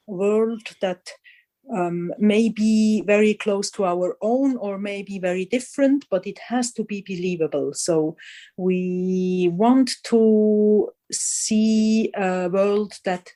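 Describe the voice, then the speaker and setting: A woman's voice: lowish voice, mellow. A woman interviewed as a guest editor, answering thoughtfully and hesitantly, often restarting her phrases.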